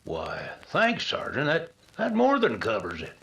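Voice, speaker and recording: An actor playing a helpful, easily flustered village blacksmith who stammers a little in a radio drama. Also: male voice, fairly high pitch, huge pitch swings, unhurried, creaky, a bit bright, slightly rough, full character voice. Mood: friendly. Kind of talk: old-time radio drama acting.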